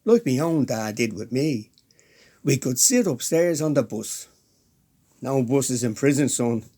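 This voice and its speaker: A man's voice: medium pitch, lively intonation, unhurried, mellow. An actor playing a hapless, wistful middle-aged ex-convict father with a Dublin accent, plaintive and evasive, in a comedy radio drama. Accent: Dublin Irish.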